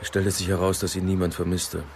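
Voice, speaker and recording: A man's voice: sits low, level tone, brisk, background noise. A man acting in a radio drama as a reserved, laconic police inspector, terse and reticent. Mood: reserved, subdued. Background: music.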